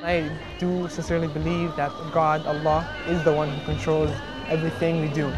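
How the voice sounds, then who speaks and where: A young man from Somalia, a student, speaking earnestly in an interview with many pauses and restarts. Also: on the high side, level tone, over music. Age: young adult. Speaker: engineering student. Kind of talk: interview testimony.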